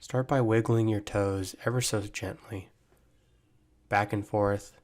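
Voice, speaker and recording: Slow, low-voiced man guiding meditation. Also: steady tone. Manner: gentle, measured instructions with long pauses. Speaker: meditation guide, mental performance coach. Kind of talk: guided body scan meditation.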